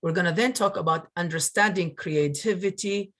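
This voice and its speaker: A man's voice: high-pitched, moderate pace, fairly bright tone. Earnest man presenting a webinar. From northern Iraq. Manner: earnest, grateful, many fillers. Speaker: webinar presenter, career coach. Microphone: video call.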